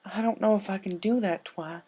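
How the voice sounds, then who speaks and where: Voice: medium pitch, brisk, mellow, breathy edge, voice pushed up, lightly acted. A performer voicing a stubborn, honest female farm pony in a cartoon fan story. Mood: stubborn, troubled.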